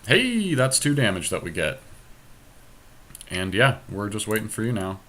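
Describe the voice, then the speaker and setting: Man, low voice, casual game commentary, podcast co-host, thinking out loud, mild trash talk. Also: very animated, a little slow, slight background noise. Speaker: podcast host playing online card game.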